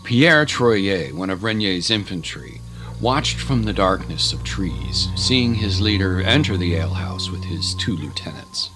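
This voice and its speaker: A male narrator with a fairly low voice tells a scripted story. Music plays clearly beneath his narration. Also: expressive, measured pace.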